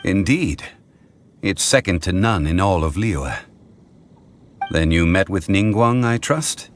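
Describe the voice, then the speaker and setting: An actor playing a composed, learned advisor in a game who speaks in a measured, formal way, with game music behind. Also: male voice, low-pitched, animated, a little hiss or hum. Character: composed, learned man, a calm scholarly advisor.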